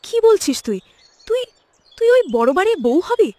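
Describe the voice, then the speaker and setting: A woman's voice: extremely high pitch, sing-song, moderate pace, dark tone. A woman voicing a jealous, greedy young village girl in a cartoon, in a dramatic, emphatic manner. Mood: startled, anxious. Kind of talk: cartoon voice acting. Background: background music.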